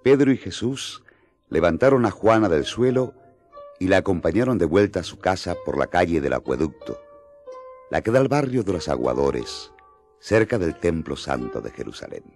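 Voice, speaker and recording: A man's voice: low-pitched, big pitch swings, moderate pace, darkish tone, soft background music. A male actor playing the grave storyteller of a gospel radio drama, with dramatic scripted narration.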